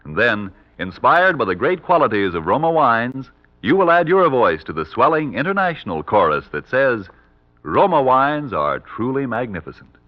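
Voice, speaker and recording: A man's voice: medium pitch, very animated, normal speed, no echo. A man reading a scripted 1940s radio advertisement as a commercial announcer. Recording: dull, dry 1940s radio recording.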